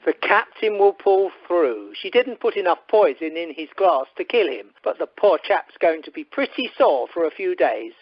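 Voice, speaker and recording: A man playing a calm, stern undercover investigator in an amateur radio play, formal and measured. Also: sits high, dry recording. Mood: grave. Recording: dull telephone-conference recording.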